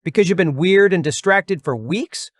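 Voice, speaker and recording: High-pitched teasing male roommate, acted by a man. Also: moderate pace, dry recording, clean studio sound. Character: wry, teasing young college roommate. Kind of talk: audiobook character acting.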